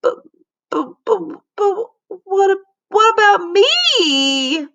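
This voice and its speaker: A spoiled little schoolgirl from a storybook, put on by a woman reader. The voice jumps up high and whines with scheming, put-upon drama. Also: big pitch swings, extremely slow, pushed and bright, exaggerated voice. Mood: indignant. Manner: trilling, wailing, put-upon.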